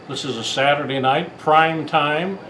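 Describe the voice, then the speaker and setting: A man's voice: on the high side, measured pace, poor audio. A man talking as a playful, folksy magician in casual showman chat. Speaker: magician, guest. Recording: loud room noise behind.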